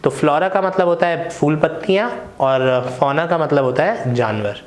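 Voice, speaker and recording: A young man with a fairly high voice and an Indian English accent walks learners through word roots. He explains step by step and keeps checking in with rhetorical questions. His voice bounces around a roomy, echoey space. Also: moderate pace. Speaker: English vocabulary teacher. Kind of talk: explanatory lesson mixing Hindi and English.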